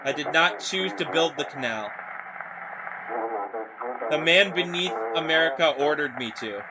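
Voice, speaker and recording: A man voicing a solemn former diplomat and canal developer in formal, measured oratory, reading a horror story aloud. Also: a little high, expressive, very noisy. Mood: grave, confessional.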